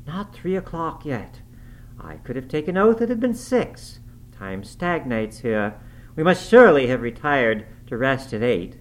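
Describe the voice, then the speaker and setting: A man voicing a prim, wordy, self-important gentleman lodger, speaking formally and indignantly in an audiobook. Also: mid-range voice, big pitch swings, measured pace, pitched above the performer's own voice. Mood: weary complaint. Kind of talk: character dialogue in an audiobook reading.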